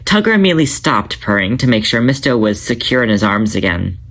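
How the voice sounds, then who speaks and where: A man narrating his own fan fiction as an audiobook, in a steady, measured reading. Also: on the low side. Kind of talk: podfic story narration.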